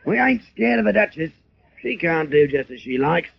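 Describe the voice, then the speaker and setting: Creaky-voiced brash street tough. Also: male voice, on the high side, very animated, normal speed, full character voice. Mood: combative. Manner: slangy, brash. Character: scrappy male street tough, proud boyfriend. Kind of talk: old-time radio drama acting. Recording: dull, noisy old radio recording.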